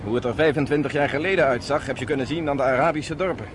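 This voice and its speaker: An actor playing a self-assured, courteous resistance fighter with a dry edge, in radio drama dialogue. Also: male voice, mid-range voice, fast talker, background noise, natural voice. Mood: proud. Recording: radio play with loud music, crowd.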